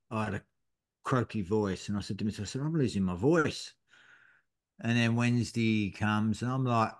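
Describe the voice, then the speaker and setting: A middle-aged man co-hosting a podcast, telling a long story in casual chat and swearing freely. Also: on the low side. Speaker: podcast co-host.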